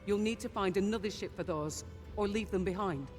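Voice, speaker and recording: An actress playing a businesslike, composed mercenary ship officer in a video game. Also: female voice, medium pitch, fairly quick, faint music behind, close to an everyday voice. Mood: composed, serious. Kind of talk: cinematic game voice acting.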